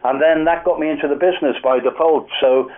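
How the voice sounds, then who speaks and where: A man's voice: fairly high pitch, steady tone, brisk, slight background noise. Older man with a Liverpool accent, a radio guest telling rambling anecdotes, thin phone-like sound. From Liverpool, England. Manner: rambling, anecdotal, many fillers. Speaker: music industry guest. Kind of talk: casual radio interview storytelling. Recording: tinny phone-like radio line.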